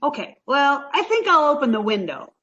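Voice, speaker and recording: Scatterbrained young woman, played by an actress: animated, naive, blunt, vintage radio comedy, slightly dull sound. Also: high voice, normal speed, full character voice. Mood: blunt, earnest. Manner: naive, matter-of-fact. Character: dim-witted, cheerful, blunt young woman roommate. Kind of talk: 1940s radio comedy acting.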